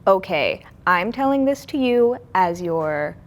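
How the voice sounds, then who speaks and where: A woman's voice: medium pitch, lively intonation, takes it slowly. A young woman who co-creates and writes a comic, explaining her process in an interview.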